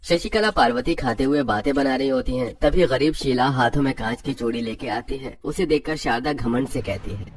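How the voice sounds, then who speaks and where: A man's voice: medium pitch, normal speed, hardly any pauses, recorded from a distance. A man narrating a scripted moral story.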